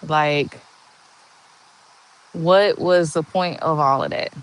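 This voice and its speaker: A woman in her forties with a monotone voice, chatting slowly and candidly on a livestream and answering viewers' comments. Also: deep voice, clear, resonant tone, rough recording. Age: 40s. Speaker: livestream host. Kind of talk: casual live chat answering viewer comments.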